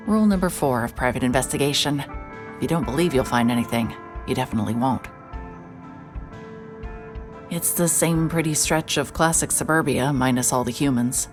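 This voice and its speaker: A woman's voice: rumbling low, normal speed, long pauses, over music. A woman acting as a hard-hearted, wry and nervy private investigator in a cinematic audio drama, muttering to herself as she works. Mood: brooding, wry. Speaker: lead actor and narrator of an audio drama. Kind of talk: cinematic audio drama, first-person noir narration and case dictation.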